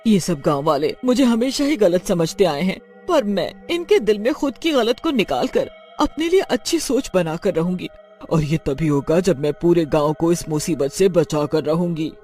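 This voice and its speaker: A man plays a female cartoon witch with a high voice. She is earnest and pleading, full of remorse and determined to do good. Soft music plays behind the dramatic delivery. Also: very animated, normal speed. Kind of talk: dramatic Hindi cartoon dialogue.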